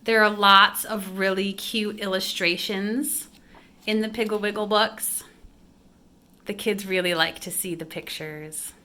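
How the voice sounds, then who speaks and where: A woman's voice: mid-range voice, steady tone, measured pace, bright, forceful tone. A woman hosting a homeschool recommendation vlog, talking casually and encouragingly as one parent to others. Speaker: homeschool video host and parent.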